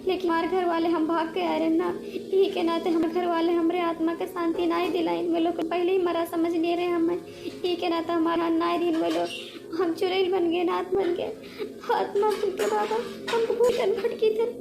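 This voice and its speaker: A woman acts out a female witch ghost from a cartoon comedy, wronged and resentful. Her voice sits extremely high. She hammers her words home by saying them again. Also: level tone, moderate pace, creaky. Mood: aggrieved, pleading. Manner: emphatic, repeats words for force. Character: aggrieved female witch ghost, murdered before her wedding. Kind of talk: animated comedy cartoon voice acting in dialect.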